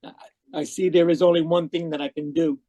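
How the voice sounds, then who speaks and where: A man's voice: on the high side, flat delivery, brisk, dark tone, close to an everyday voice. A man playing an obsessed, desperate zealot in an old-time radio mystery, fervent, pleading and intense. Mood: grim, fanatical. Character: obsessed, desperate zealot younger brother turned killer. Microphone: home microphone on a video call.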